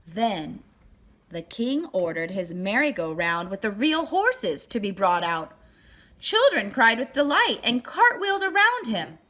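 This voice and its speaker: A woman reading a children's picture book aloud as narrator, with deliberate, expressive phrasing. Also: medium pitch. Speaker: storybook reader.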